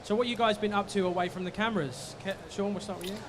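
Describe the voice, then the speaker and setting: A man hosting an interview on a convention stage with a handheld mic, upbeat and brisk. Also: sits high, steady tone. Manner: upbeat, brisk host patter.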